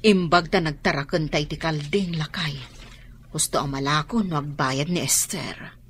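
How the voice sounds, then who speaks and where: A woman's voice: low-pitched, moderate pace, a bit bright, a little hiss or hum. A woman playing a plain-spoken, feisty middle-aged country wife in an Ilocano radio drama, with an Ilocano Filipino accent. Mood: gentle.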